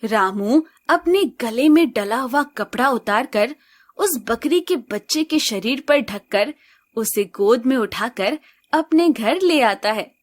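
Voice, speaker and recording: A woman narrating an animated village story in a slow, even storytelling manner. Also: on the high side.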